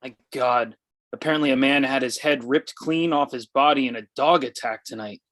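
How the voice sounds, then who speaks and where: A man's voice: medium pitch, normal speed, fairly bright tone, lightly acted. A man playing a stuffy, snobbish, well-off husband in a comedy table read. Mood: disapproving.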